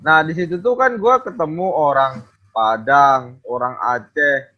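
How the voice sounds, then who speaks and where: A man from Papua telling stories in a casual chat among friends, in animated Jakarta slang. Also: a little high, a little slow. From Papua, Indonesia. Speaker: podcast co-host. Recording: dull lo-fi audio.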